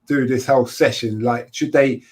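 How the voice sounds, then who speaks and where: A man in his forties, co-hosting a podcast, joking and acting out his reactions in an animated way. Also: mid-range voice, normal speed. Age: 40s. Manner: animated, jokey, acts out reactions. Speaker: podcast co-host. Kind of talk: casual podcast conversation.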